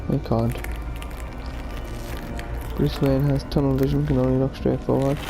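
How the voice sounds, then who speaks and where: A man with a creaky voice giving casual let's play commentary on a video game. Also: mid-range voice, flat delivery, unhurried, poor audio. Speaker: gaming YouTuber. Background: game music.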